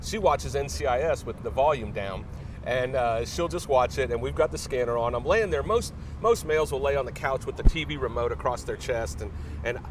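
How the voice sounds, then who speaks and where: Male interview guest, middle-aged, hesitant casual storyteller, mid pitch, off-mic with noticeable background noise. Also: brisk. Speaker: interview guest, newspaper media director. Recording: distant mic, clear background rumble.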